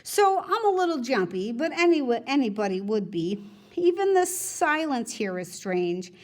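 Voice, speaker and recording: Casting: female teacher, slightly high voice, expressive read-aloud storytelling, conversational delivery. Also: moderate pace. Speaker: teacher reading a novel aloud to students.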